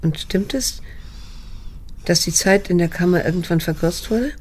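An actress in a radio drama playing a middle-aged daughter, restrained and quietly repelled, measured and insistent as she questions and narrates, in a husky voice. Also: lowish voice, a little hiss or hum, natural voice. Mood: insistent, cool.

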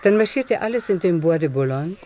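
High-pitched male narrator with lively intonation. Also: fairly quick, a little hiss or hum. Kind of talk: scripted literary narration in a radio drama.

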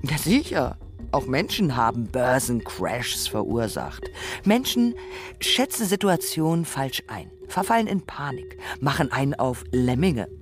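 A performer voicing a reflective radio narrator who muses on robots and humans in deliberate, rhetorical phrases. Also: mid-range voice, sing-song. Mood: argumentative. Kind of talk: scripted radio essay narration. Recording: music behind the voice. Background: music.

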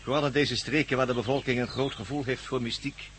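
A man's voice: medium pitch, fairly even intonation, fast, fairly bright tone, slight background noise, close to an everyday voice. An actor playing a megalomaniac villain in a radio drama, menacing, pompous and theatrical, gloating over his schemes. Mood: grandiose, fanatical. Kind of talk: Dutch radio drama acting.

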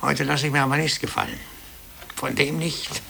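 An actor playing an aggrieved, self-pitying clerk in 1950s radio drama, brooding, grumbling and repeating himself when agitated. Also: male voice, on the high side, level tone, normal speed, gravelly, pushed and bright, exaggerated voice. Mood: defiant, mock polite. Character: aggrieved, self-pitying male office clerk, resentful of his boss. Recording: hissy old 1950s radio tape.

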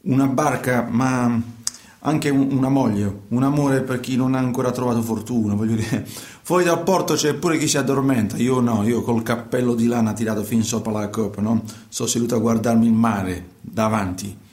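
A man playing a grumbling, restless young emigrant in a radio theatre monologue, colloquial and halting. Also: mid-range voice, a little mellow, lightly acted. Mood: musing. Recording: roomy, echoing sound.

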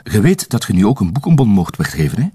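An actor playing a needling Flemish detective sidekick in a radio crime drama, with a husky voice. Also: male voice, fairly low pitch, sing-song, quick pace, warm tone, dry recording, clean studio sound.

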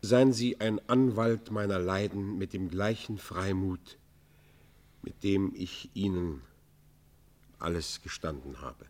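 A repentant robber in a classical radio play. His voice sits low and his speech is formal and declamatory. He pleads for mercy with stubborn pride, stressing his words emphatically. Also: takes it slowly, darkish tone. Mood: urgent pleading. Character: proud, remorseful outlaw robber pleading for mercy. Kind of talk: German radio play, classical literary acting.

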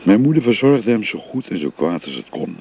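An actor playing an older father who gently confides his family history to a young woman, in a radio drama. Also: fairly low pitch, sing-song, fairly quick, breathy edge, highs cut off, full character voice. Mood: gentle, confiding. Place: recorded on location.

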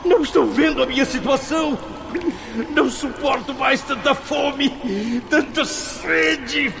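A high, tormented blind soul. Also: male voice, fairly even intonation, creaky, exaggerated voice. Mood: frantic, demanding. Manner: theatrical, tormented, halting and desperate. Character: anguished, blinded man trapped in afterlife torment after suicide. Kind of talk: radio drama acting. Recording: dramatic music under the voice.

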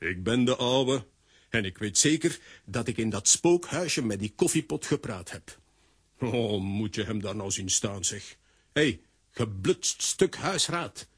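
An actor playing an excitable, easily frightened man in a children's radio play, blustering and stammering. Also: fairly low pitch, unhurried, breathy edge. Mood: indignant. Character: excitable, easily frightened, indignant grown man, comic hero.

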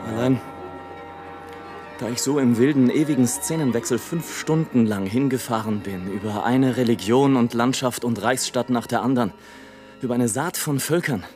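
A man plays a misanthropic aeronaut in a radio drama, with music under his voice. He declaims in a medium-pitched male voice, eloquent, ironic and weary of the world. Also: moderate pace, a little mellow, natural voice. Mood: musing. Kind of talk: German radio play monologue.

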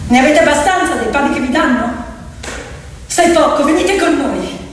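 Echoey high-voiced woman storyteller over music. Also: lively intonation, moderate pace, a little hiss or hum. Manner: poetic, emphatic, incantatory with repeated phrases. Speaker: stage storyteller and actress. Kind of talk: dramatic theatrical storytelling with piano. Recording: echoey reverberant hall, distant mic, loud music. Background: piano music.

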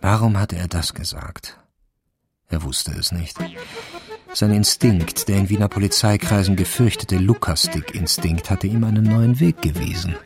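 An actor playing the narrator of a radio crime drama, telling the story in a sober, deliberate way. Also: male voice, low voice. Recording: music clearly under the voice.

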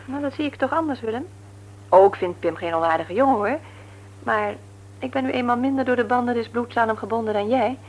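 An actress in a Dutch radio play, playing a brisk, teasing, no-nonsense nurse married to a man she likes to mock. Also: fairly high pitch, natural voice. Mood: firm, critical. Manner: brisk, mocking, matter-of-fact. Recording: noisy old radio recording with hum.

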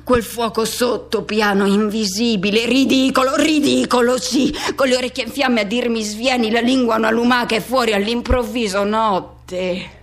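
Woman voicing wistful man remembering first love, higher-pitched. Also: moderate pace, hardly any pauses, close to an everyday voice. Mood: awed, intense. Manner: fragmented, repetitive, poetic phrasing. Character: man recalling his first teenage love for another boy. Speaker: actress performing a radio drama monologue. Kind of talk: dramatic radio theatre monologue.

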